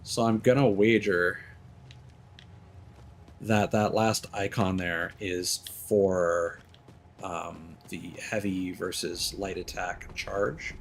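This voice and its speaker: A man's voice: low-pitched, gravelly, slight background noise. A man streaming a video game, casually thinking aloud and hesitating with fillers as he plays. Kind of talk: casual gameplay commentary.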